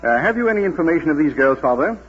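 A man's voice: fairly high pitch, sing-song, rapid-fire, dull audio, faint music behind. An actor playing a reserved, moralistic man of business with formal manners in an old radio drama. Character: formal, reserved, moralistic gentleman estate agent. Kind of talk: Golden Age radio drama acting.

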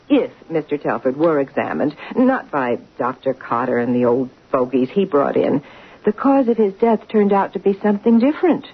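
An actress playing a sly, blackmailing housekeeper in an old-time radio drama, falsely sweet and insinuating. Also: female voice, low-pitched, husky, exaggerated voice. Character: sly blackmailing housekeeper, middle-aged woman. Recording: tinny lo-fi radio recording.

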